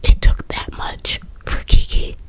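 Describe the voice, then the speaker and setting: A young adult woman whispering a casual personal story to her viewers, chatty and full of fillers. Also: a little slow. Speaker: vlogger.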